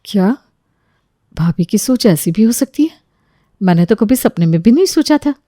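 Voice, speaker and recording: A woman's voice: medium pitch, lively intonation, normal speed, warm tone, no echo, clean studio sound, natural voice. A woman playing an easily provoked, stubborn married daughter in a Hindi audio story, speaking emphatically. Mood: shocked. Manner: emphatic, indignant.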